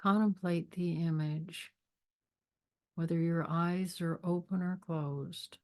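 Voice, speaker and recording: Lady doing a guided meditation, kinda low voice, really slow n measured, lots of pauses. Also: fairly even intonation. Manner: measured, deliberate, with long pauses. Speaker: meditation leader, spiritual minister. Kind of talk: guided meditation with devotional readings.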